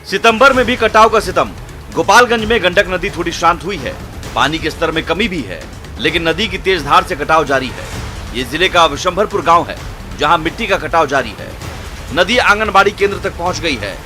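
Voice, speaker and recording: A man's voice: fairly high pitch, lively intonation, brisk, music in the background. A man narrating a scripted television news report.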